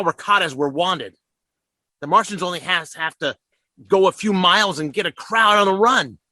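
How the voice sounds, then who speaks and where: A ragged survivor of the militia, bright and sharp-toned, his voice swinging up and down as he rambles and stammers through his plan. in a radio play, recorded close and dry with no room sound. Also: sits high, dry recording, exaggerated voice. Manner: rambling, stammering, scheming bravado. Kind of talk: radio drama acting.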